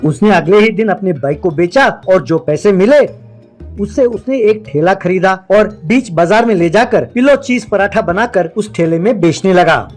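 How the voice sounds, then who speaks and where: A man's voice: high-pitched, expressive, normal speed, soft background music. A man narrating a scripted moral story for a cartoon.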